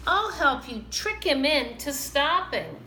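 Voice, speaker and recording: A woman's voice: high-pitched, very animated, takes it slowly, sharp tone, exaggerated voice. A woman voicing a kind, reassuring little male hedgehog in a children's storybook. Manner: sympathetic, reassuring. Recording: roomy, echoing, some background noise.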